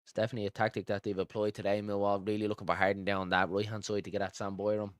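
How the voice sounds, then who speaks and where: Male fan-stream football commentator, Dublin accent, low pitch, chatty and pally with viewers, dry sound. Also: level tone, rapid-fire, no room sound. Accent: Dublin Irish. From Dublin, Ireland. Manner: informal, matey, addresses viewers as pal and buddy. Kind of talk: live football commentary mixed with casual chat to viewers.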